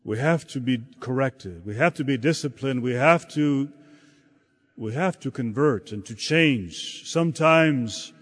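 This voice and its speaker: A man preaching a sermon in a slow, halting delivery, often repeating words as he searches for the next phrase. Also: medium pitch. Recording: long hall reverb.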